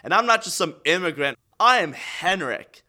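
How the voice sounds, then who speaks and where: Young man born in Estonia, twenties, bright and forceful voice, aspiring wrestler recounting his story with candid, earnest swings from hushed to fired up. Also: high voice, expressive, moderate pace. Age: 20s. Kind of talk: documentary interview.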